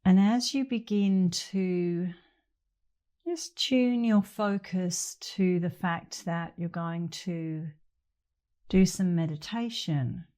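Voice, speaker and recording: Slow, mellow woman guiding meditation. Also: fairly low pitch. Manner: gentle, unhurried with long pauses. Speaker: meditation instructor. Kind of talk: guided meditation.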